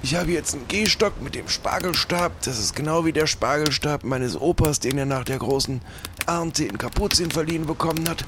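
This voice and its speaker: A man's voice: on the high side, normal speed, background noise, close to an everyday voice. A man playing a small, proud, grumbling older pirate in an improvised audio adventure, opinionated and reproachful. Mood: grumbling, insistent.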